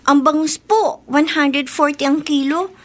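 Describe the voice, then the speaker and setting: A woman's voice: sits high, measured pace, fairly bright tone. An actress playing a polite, earnest young runaway girl working as a housemaid, speaking deferentially in a radio drama. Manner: deferential, meek. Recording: noisy radio drama with background ambience.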